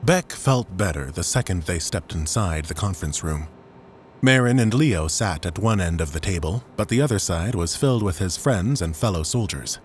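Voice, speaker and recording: Lower-pitched male audiobook narrator. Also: animated, moderate pace, slight background noise. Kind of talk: scripted dramatic fiction narration.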